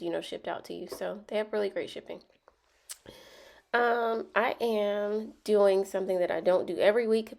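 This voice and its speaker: A woman's voice: mid-range voice, vocal fry, dark tone. A young woman with a Southern accent, stuffy and a bit nasal from a cold, chatting casually as she narrates her planner video, rambling with many ums and likes.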